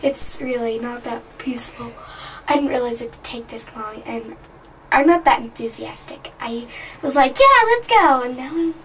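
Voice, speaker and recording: A woman's voice: on the high side, animated, moderate pace, a bit bright. A teenage girl riding a mountain gondola, chatting nervously and telling herself to keep looking at the floor. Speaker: older kid on a family trip. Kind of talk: casual chat. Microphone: camcorder a few feet away. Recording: distant camcorder audio with wind noise.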